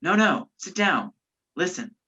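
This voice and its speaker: A woman's voice: on the low side, very animated, unhurried, pushed and bright, slightly rough, heavily acted. A woman playing a determined, commanding man on the run in a radio play. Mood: urgent. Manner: urgent, commanding. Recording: dull lo-fi virtual recording.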